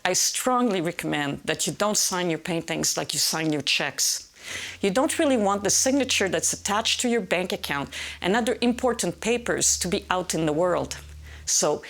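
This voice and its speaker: A middle-aged woman from French Canada, a watercolor teacher giving a calm, measured and encouraging scripted tutorial. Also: medium pitch.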